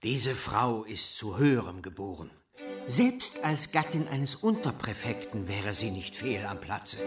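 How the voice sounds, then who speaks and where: A man's voice: on the low side, animated, a little slow. An actor playing an admiring provincial townsman in a literary radio play. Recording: loud violin music over voice.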